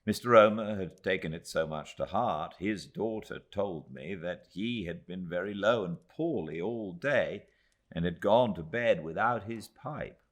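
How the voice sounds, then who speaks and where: A British man narrating a classic novel as an audiobook, expressive, with measured and dramatic phrasing. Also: deep voice. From Britain.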